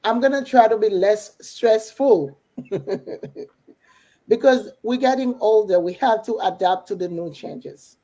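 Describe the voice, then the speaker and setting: Mellow Haitian-accented woman, middle-aged. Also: medium pitch, unhurried. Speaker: business coach and mentor. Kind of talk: casual motivational chat.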